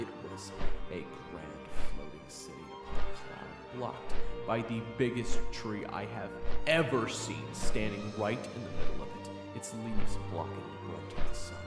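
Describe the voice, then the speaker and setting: An actor playing a chatty young swordsman who narrates a fantasy audio drama in a conversational, dramatic way. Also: lowish voice. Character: roguish, chatty young swordsman retelling his adventure. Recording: echoey reverb, loud music behind.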